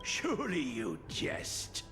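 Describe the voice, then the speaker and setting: An actor playing a scornful, sanctimonious priest in a video game, speaking with sneering contempt. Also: male voice, mid-range voice, huge pitch swings, slow pace. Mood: contemptuous. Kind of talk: scripted video game voice acting. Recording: loud game music behind.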